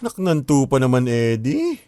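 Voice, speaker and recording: A man's voice: mid-range voice, wildly swooping pitch, a little slow, mellow, slight background noise. An actor playing a curious, pushy young man in a radio drama, with an inquisitive, pressing way of talking. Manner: inquisitive, pressing, casual Taglish.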